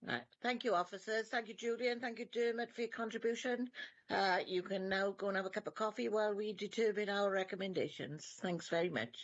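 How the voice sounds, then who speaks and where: A woman with a Welsh accent chairing a council meeting, brisk and polite. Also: medium pitch. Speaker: committee chair. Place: video call. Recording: dry, lo-fi call audio.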